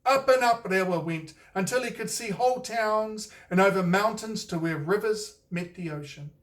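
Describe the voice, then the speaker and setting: A man with a New Zealand accent reads a picture book aloud with an unhurried, expressive delivery. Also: high-pitched, recorded from a distance. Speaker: author and storyteller. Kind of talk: scripted picture-book narration.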